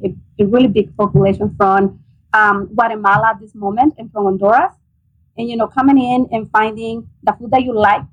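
A woman's voice: mid-range voice, husky, highs cut off. A woman in her forties from El Salvador with a Salvadoran accent, answering interview questions earnestly with many ums over a video call. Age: 40s. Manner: earnest, hesitant with frequent ums. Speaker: guest, nonprofit director.

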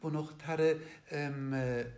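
A man with a Swiss German accent, answering an interview question at length. His voice sits a bit high for a man. He talks in a halting way, with lots of fillers and restarts, and keeps checking that his listeners follow. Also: fairly even intonation, darkish tone. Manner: ends points with a questioning "oder?". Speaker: interview guest. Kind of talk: explaining in an interview.

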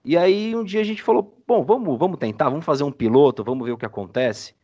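A man's voice: fairly high pitch, fast, warm tone. A Brazilian man chatting casually as a guest in a podcast interview. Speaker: podcast guest, audio drama creator.

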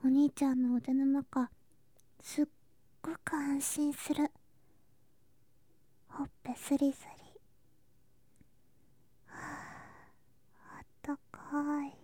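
A woman playing a sweet, clingy younger girl cousin in an ASMR voice drama, soft and breathy, often whispering, with a cutesy, affectionate manner. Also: high voice, level tone, slow pace, long pauses, no room sound. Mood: drowsy, content. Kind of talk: Japanese ASMR situation voice drama. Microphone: close ASMR microphone.

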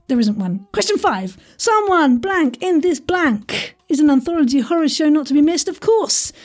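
A woman's voice: high voice, a little mellow, slightly rough. A woman hosting an audio quiz in a chatty, playful style, with a theatrical, jokey delivery full of asides and exclamations. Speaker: quiz host.

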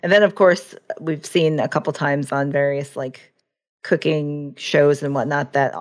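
Low-voiced woman reading stream chat. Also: measured pace. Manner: relaxed, trails off while searching for words. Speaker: stream co-host. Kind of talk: casual chat, reading chat comments.

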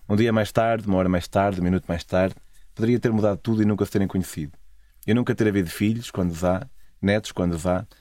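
A man's voice: on the low side, darkish tone, no room sound. A man with a European Portuguese accent narrating a scripted, literary first-person travel story. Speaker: narrator of own travel stories.

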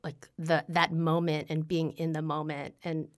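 A woman's voice: deep voice, level tone, unhurried. A woman in her fifties, a podcast guest in casual chat, who laughs often and jokes about herself. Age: 50s. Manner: laughs often, self-deprecating.

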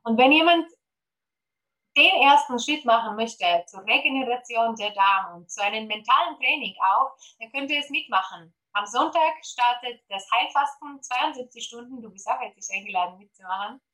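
A Polish-accented woman comes across bright and forceful, her voice sitting a bit high. She talks eagerly as a podcast guest and keeps filling pauses with hesitations. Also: expressive, rough recording. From Poland. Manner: eager, many fillers, promotional. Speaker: guest, gut health coach. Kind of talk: podcast interview.

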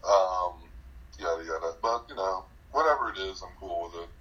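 A young man casually recounting his game in an interview, talking loudly and excitedly with lots of fillers and laughs. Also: low voice, moderate pace, highs cut off. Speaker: eliminated contestant guest.

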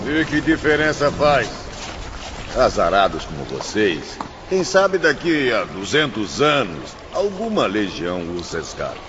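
An actor playing a bitter, quarrelsome, scornful lost soul in a theatrical radio drama. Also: male voice, fairly low pitch, wildly swooping pitch. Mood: cynical. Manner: slow, emphatic, scornful. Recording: muffled, noisy radio drama.

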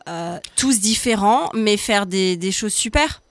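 A woman's voice: mid-range voice, expressive, measured pace, no room sound. Hesitant woman radio co-host. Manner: hesitant, many fillers, agreeing. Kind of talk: casual radio interview.

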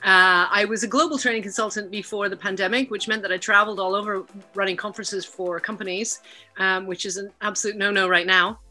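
A middle-aged woman from Canada chats as a podcast guest over a video call. Her voice is bright and forward, and she talks in a lively, animated way with faint music behind her. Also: mid-range voice, fairly quick. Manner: lively, laughing, animated storyteller. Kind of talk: casual interview chat.

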